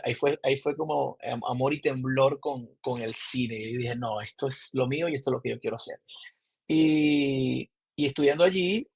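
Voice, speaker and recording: Venezuelan film director guest in his 30s, gravelly husky voice, rambling storyteller. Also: medium pitch. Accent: Maracaibo Venezuelan. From Zulia, Venezuela. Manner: rambling, frequent restarts and filler words. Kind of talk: casual interview storytelling. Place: remote call from abroad.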